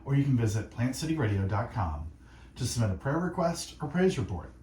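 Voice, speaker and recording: Earnest male radio host, very expressive pitch. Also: roomy sound, slight background noise. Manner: earnest, reflective, speaking in short deliberate phrases. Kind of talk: faith-based encouragement monologue on music radio. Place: radio studio.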